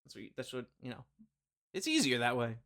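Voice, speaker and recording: A male podcast host chatting casually with his co-host. He jokes around and rambles, with plenty of fillers and false starts in a mid-pitched voice. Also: wildly swooping pitch, quick pace, pushed and bright. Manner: joking, rambling, many fillers and restarts. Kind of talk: casual chat.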